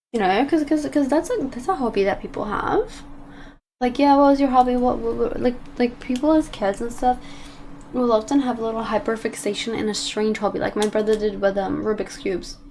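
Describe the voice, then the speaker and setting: A woman's voice: fairly high pitch, a little mellow, slight background noise. A young woman with a British accent and a slight Australian twang, rambling through casual stream chat with many restarts while she paints.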